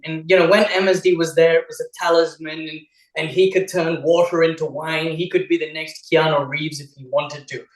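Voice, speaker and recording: A man born in India who grew up in Australia, speaking with an Australian accent as co-host of a casual chat, animated and teasing. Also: on the high side, reverberant, distant mic, low-quality recording. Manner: animated, teasing, repeats words when restarting.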